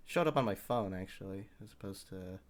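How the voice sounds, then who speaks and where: A man's voice: deep voice, a little fast. A man livestreaming a game, chatting casually to his viewer. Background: game music.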